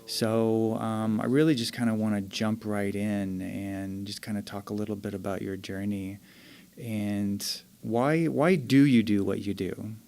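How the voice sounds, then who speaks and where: Relaxed man hosting an interview. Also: fairly low pitch, unhurried, gravelly. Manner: relaxed, affirming, many fillers. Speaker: podcast host.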